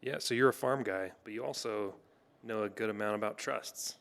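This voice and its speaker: A man co-hosts with a jokey, chatty manner, tossing in light asides and follow-up questions. His voice sits slightly low and stays casual. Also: normal speed, vocal fry, a bit bright. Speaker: podcast co-host. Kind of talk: casual interview banter.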